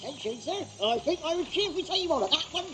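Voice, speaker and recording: A man's voice: very high voice, animated, fast talker, over music. A man playing a cheeky, eager cab driver in a period audio drama, colloquial. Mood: cheerful, eager.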